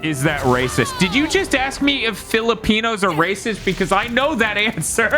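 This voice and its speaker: A man's voice: high voice, sing-song, measured pace, music in the background. A man from the United States hosting a game stream, chatting casually and joking, with frequent laughs and swearing. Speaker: streamer host. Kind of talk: casual chat over gameplay.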